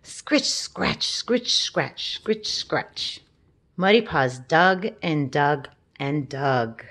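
A woman reads a picture book aloud for young children. Her pace is very slow and her pitch sits a little low. She is gentle and often turns from the text to speak straight to her listeners. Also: animated. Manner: gentle, talks to children with questions. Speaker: storybook reader.

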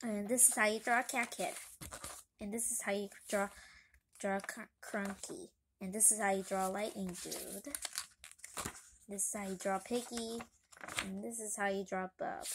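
A woman reading a comic book aloud in an amateur way, halting and stumbling over words. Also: medium pitch, noisy background. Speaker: reader.

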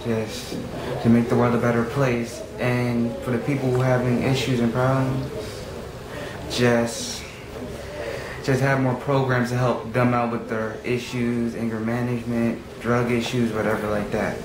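A man's voice: mid-range voice, flat delivery, a little slow, a crowd in the background. A young man speaking earnestly to camera about his community, often repeating himself. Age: young adult. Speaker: youth program member. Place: small room against a plain wall. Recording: echoey room with background noise.

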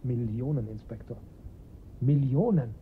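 Husky, strained father. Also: mid-range voice, measured pace. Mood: subdued, earnest. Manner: insistent, self-justifying. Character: strained father of a kidnapped boy, engineering draughtsman. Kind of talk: German radio crime drama acting. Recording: muffled lo-fi radio play recording.

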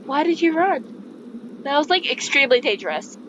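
A woman's voice: sits high, animated, normal speed, fairly bright tone, highs cut off, a little hiss or hum, pitched above the performer's own voice. A woman voicing an anxious, defensive young female cat in a video game, pleading and worried. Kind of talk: reading game dialogue aloud in character.

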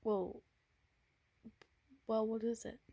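A woman voicing an anxious, tender young boyfriend in a whispered romance roleplay, speaking softly with nervous stammers. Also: a little high, little pitch movement, slow pace, vocal fry, husky, no echo, full character voice. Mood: worried pleading.

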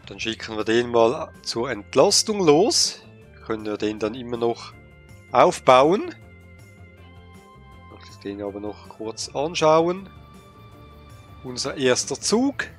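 A man with a cold, thinking aloud with many pauses and fillers while commenting on a game he plays. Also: medium pitch, very animated, faint music behind. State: has a cold. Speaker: let's play gamer. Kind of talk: casual gameplay commentary.

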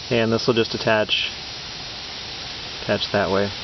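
A man's voice: on the low side, flat delivery, measured pace. A man talking casually and matter-of-factly through a how-to project, like a hobbyist showing his work. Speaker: amateur radio hobbyist, video maker. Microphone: handheld camera's built-in mic. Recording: dull camera-mic audio with clear hiss and hum.

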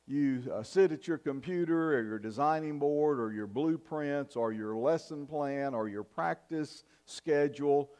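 An older man preaching a sermon in a church, in a measured, earnest style with emphatic pauses. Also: on the high side, warm tone. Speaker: pastor. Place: church sanctuary, at the pulpit. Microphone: fixed camera from the back of the church.

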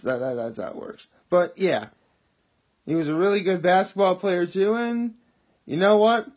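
Upbeat high-voiced man with a loud voice. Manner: chatty, upbeat, rambling with many asides. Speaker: vlogger. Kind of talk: casual daily video diary. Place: living room, indoors. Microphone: phone camera held at arm's length.

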